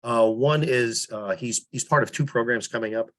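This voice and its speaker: A man giving an informal report on a teleconference as a public relations volunteer, explaining things in a halting, meandering way. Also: mid-range voice, fairly bright tone. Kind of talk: informal spoken report in online meeting. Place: teleconference call.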